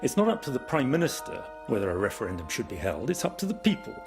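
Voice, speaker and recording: Lively, emphatic man over music. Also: medium pitch, quick pace, gravelly. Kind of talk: documentary interview. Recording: documentary clip with loud music behind.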